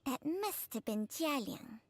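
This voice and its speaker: A talking snake companion from a video game. She sounds high and lively in pitch, knowing and brisk, with a crisp, matter-of-fact delivery. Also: heavy background noise, heavily acted.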